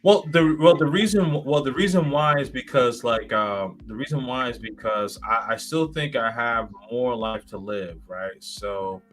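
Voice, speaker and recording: A man's voice: medium pitch, a little slow, hardly any pauses, a bit bright. A man in his thirties, a guest in a casual interview, rambling with many fillers and restarts. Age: 30s.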